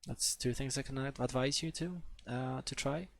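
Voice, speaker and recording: A young man with a Polish accent teaches a live coding session in a gravelly, mid-pitched voice. He hesitates often and fills pauses with "uh" as he works. The sound is close and dry. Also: no room sound. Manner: hesitant, many fillers and restarts. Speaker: coding instructor. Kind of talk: live-streamed coding tutorial.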